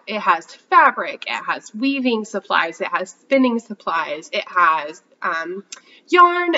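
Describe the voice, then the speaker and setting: Stuffy-nosed woman chatting about yarn stuff, bright forceful voice, kinda muffled lo-fi sound. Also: mid-range voice. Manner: chatty, rambling, many fillers. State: congested from a cold. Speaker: podcast host. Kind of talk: casual chat showing yarn haul.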